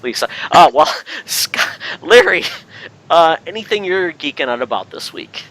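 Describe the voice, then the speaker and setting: Man, podcast host, lively intonation, bright forceful voice, jokey casual banter. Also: a little high, measured pace, poor audio. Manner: jokey, laughs easily.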